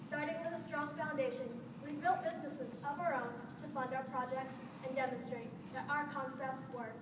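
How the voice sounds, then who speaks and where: A young woman from the Philippines recites her part of a student presentation in a high, steady voice. She is heard from a distance in a reverberant hall, with a clear hum of background noise. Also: normal speed. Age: young adult. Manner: steady, memorized delivery. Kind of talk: rehearsed team presentation. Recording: echoey hall, recorded from afar.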